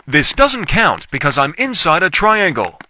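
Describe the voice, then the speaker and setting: A man's voice: on the high side, very animated, a little slow, loud music behind the voice. A man giving casual gameplay commentary in short, repetitive declarations. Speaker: gaming video creator.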